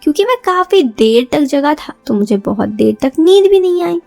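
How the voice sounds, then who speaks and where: A woman's voice: high-pitched, big pitch swings, moderate pace, gravelly, mellow, no room sound, faint music behind. A woman narrating a first-person story in a confiding, conversational way, acting out bits of dialogue.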